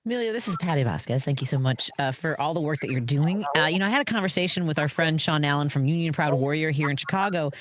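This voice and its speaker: A woman's voice: deep voice, lively intonation, fast, few pauses. Friendly woman caller, slightly hesitant. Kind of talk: podcast question.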